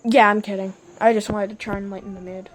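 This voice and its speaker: A woman's voice: mid-range voice, level tone, fast talker, a little hiss or hum, lightly acted. A woman voicing a laid-back, weary war-veteran engine in a toy-train roleplay, casual and matter-of-fact. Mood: low-key, matter-of-fact.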